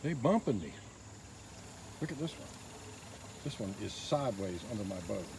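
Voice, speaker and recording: A man filming from a small boat on a river outdoors, giving excited, quiet running commentary into his handheld phone. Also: on the low side, moderate pace, vocal fry. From Northeast Florida. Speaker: fishing vlogger. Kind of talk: casual narration while filming. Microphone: handheld phone camera. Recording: outdoor noise, insects behind.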